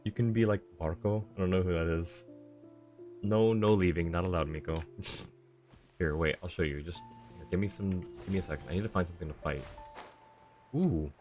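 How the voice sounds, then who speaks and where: Male game streamer, low-pitched, chatty and casual, faint music underneath. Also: normal speed, darkish tone. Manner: chatty, talking to viewers and himself. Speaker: live streamer. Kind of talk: casual gameplay stream commentary.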